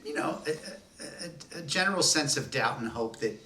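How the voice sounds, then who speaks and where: A man's voice: mid-range voice, lively intonation, moderate pace, a loud crowd. A man voice actor in a rambling, self-deprecating chat about showing up to sessions. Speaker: voice actor in the radio play. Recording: roomy echo, distant mic, faint noise.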